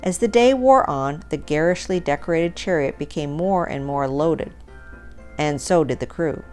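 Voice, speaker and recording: A woman's voice: deep voice, a little mellow. A woman reading a humorous story aloud as a storyteller, in scripted narration. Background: music.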